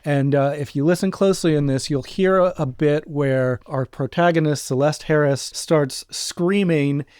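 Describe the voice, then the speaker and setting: Clear, higher-pitched man chatting on a podcast. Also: normal speed, few pauses, a little mellow, no echo, studio quality. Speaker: co-host, audio drama producer and voice actor. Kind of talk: casual podcast chat.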